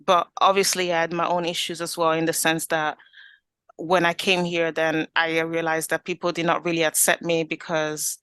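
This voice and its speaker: A woman's voice: deep voice, flat delivery, normal speed, fairly bright tone, smooth, dry recording, studio quality. A young woman with an Italian accent, telling her story as an interview guest, earnest and full of restarts. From Italy. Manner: earnest, rambling with many restarts and fillers.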